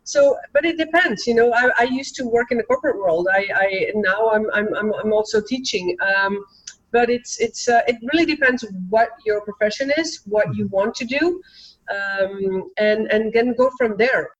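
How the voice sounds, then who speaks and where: Brief: woman guest, fairly high voice, quick chatty delivery, dull lo-fi line. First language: Dutch. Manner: quick, chatty, many "you know" fillers. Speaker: guest, author and teacher. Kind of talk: casual interview conversation. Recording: dull lo-fi sound.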